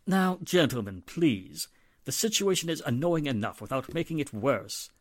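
An actor playing a middle-aged, polite and hesitant wealthy father with a measured manner in a 1940s-style radio drama. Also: on the high side, expressive, no room sound, clean studio sound. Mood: apologetic, placating.

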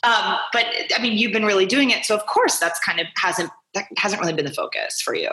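A woman's voice: mid-range voice, animated, brisk, bright, forceful tone, low-quality recording. A woman hosting a podcast in a casual, effusive and affectionate conversational way. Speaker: podcast host. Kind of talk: casual conversational interview and sponsor read.

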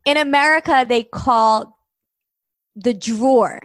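A woman's voice: on the high side, takes it slowly. A woman with a New York accent, a podcast guest chatting playfully. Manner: playful, joking. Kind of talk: casual chat.

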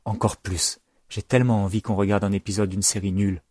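A man's voice: lowish voice, flat delivery, fairly quick, dark tone, no room sound, clean studio sound, lightly acted. A man voicing an earnest, plucky young girl devoted to her mother in an audiobook. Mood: earnest, wistful.

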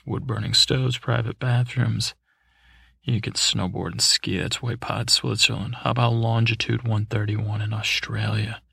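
A man's voice: fairly low pitch, fairly bright tone, dry recording, clean studio sound. A man hosting a sleep podcast, reading out travel lists in a meandering way, stumbling over words and adding wry asides. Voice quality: gravelly, husky. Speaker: podcast host. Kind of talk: sleep podcast, rambling read-aloud.

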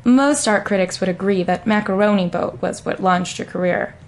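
A woman playing a composed, earnest correspondent conducting a deadpan parody news interview. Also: mid-range voice, moderate pace, lightly acted.

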